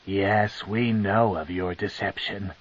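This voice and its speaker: A man voicing a cold, scheming female dark elf matriarch, with a slow, commanding and sneering delivery. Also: on the low side. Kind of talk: audiobook character reading. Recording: dull lo-fi tape.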